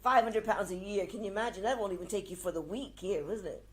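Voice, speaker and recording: A woman's voice: mid-range voice, quick pace, a crowd in the background. A woman hosting a music show, interviewing in a casual, colloquial and exclamatory way. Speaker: blues show host.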